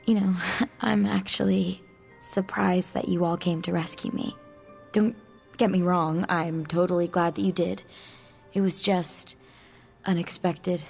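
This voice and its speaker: Game role: young female mutant outcast, candid and guarded, creaky yet clean-edged without being polished. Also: fairly low pitch, steady tone, a little slow, darkish tone, faint music behind. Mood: earnest, surprised. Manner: casual, candid, hesitant. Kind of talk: video game dialogue acting.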